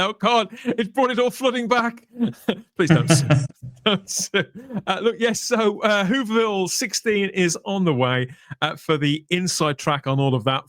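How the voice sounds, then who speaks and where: A man presents a podcast in a loose, conversational style, with a slightly higher-pitched voice. He is chatty and wryly jokey, and the sound is close and dry. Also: a bit bright, no echo. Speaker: podcast host. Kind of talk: casual podcast chat.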